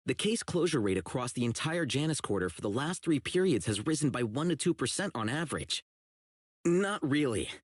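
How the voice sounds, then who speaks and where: A man's voice: a little high, breathy edge, dry recording, studio quality. An actor playing a blunt, earnest young policeman who is socially oblivious, in video game voice lines. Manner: blunt, earnest, literal-minded. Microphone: close studio mic, isolated dry voice track.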